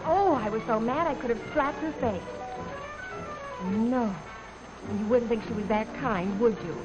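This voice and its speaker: A woman's voice: fairly high pitch, measured pace, natural voice, low-quality recording. An actress playing a catty, chatty young American woman gossiping dramatically in a 1950s training film. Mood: gossipy, indignant. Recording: old film soundtrack, music behind.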